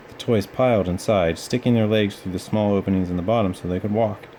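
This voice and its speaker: A man's voice: lowish voice, steady tone, fairly quick, warm tone, a little hiss or hum. A man narrating a children's storybook as a read-aloud storyteller.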